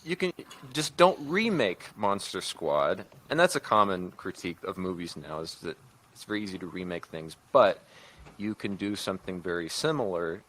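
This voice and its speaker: A man speaking as a podcast host in a casual discussion, thinking aloud with halting phrasing. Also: sits low, animated, a bit bright. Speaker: podcast host and writer-producer.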